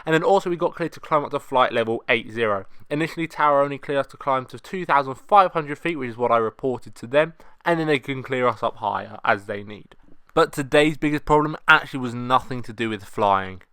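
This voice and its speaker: A man's voice: medium pitch, a little fast, sharp tone, clean tone, dry recording. A young British man, a student pilot, narrating an explanatory vlog voice-over.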